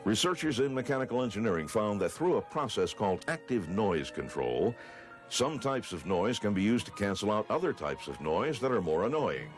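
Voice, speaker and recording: A man's voice: lowish voice, very animated, moderate pace. An American man reading scripted narration for a newsreel. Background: music bed.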